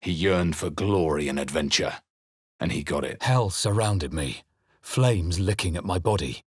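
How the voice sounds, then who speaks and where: A man voicing a grave, wry adventurer hero in a fantasy video game, with measured, theatrical delivery. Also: deep voice, husky, no echo, clean studio sound. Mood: grave, brooding. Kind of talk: dramatic fantasy game narration and character barks.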